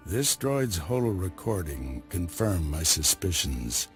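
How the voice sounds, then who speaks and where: An actor playing a grave older Jedi master who gives orders in a measured, commanding way. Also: male voice, deep voice, very animated, heavily acted. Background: game score music.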